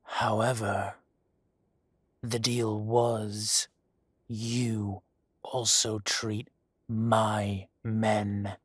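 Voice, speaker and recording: A man voicing a menacing adult male dragon gang boss in an audio roleplay drama. He speaks softly and condescendingly, with deliberate, slow threats and hushed whispers. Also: on the low side, level tone, dry recording, studio quality. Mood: silky menace. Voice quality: soft-spoken, silky and condescending, drops to whispers.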